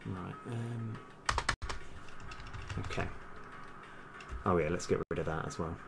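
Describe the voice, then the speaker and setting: A man's voice: deep voice, slow pace, creaky. A man chatting casually on a live coding stream, hesitant as he thinks aloud and trails off. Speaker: live-streaming game developer. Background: music, keyboard typing.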